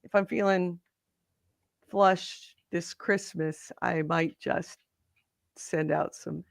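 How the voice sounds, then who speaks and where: Hoarse, gravelly woman chatting casually. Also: on the low side, fairly even intonation, slow, no room sound, clean studio sound. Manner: chatty, rambling, self-interrupting. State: slightly hoarse. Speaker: podcast co-host. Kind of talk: casual chat.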